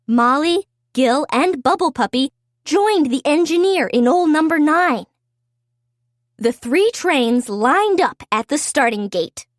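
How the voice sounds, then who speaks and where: A woman reads a picture book aloud to young children. Her voice is high and swings widely in pitch, with a slow, deliberate delivery. The sound is dry and close. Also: animated, dry recording. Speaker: children's story reader. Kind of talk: animated read-aloud storytelling for young children.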